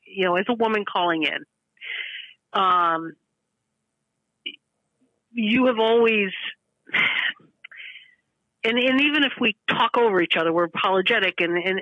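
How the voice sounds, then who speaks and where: A woman of fifty-five calling in to a show, speaking earnestly and candidly in halting, restarted sentences. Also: mid-range voice, bright, forceful tone, dry recording, dull audio. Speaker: regular caller to a show. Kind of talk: candid emotional conversation.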